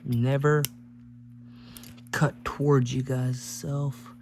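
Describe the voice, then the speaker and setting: A man's voice: medium pitch, little pitch movement, extremely slow. A man hosting a teardown video with casual, rambling commentary and offhand joking remarks as he works. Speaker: host of a gadget teardown video. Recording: clearly noisy recording with hum.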